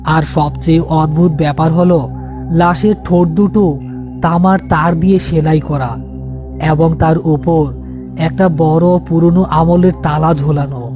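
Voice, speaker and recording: A man's voice: fairly high pitch, steady tone, a little slow, over music. A man narrating a scripted horror story as its storyteller.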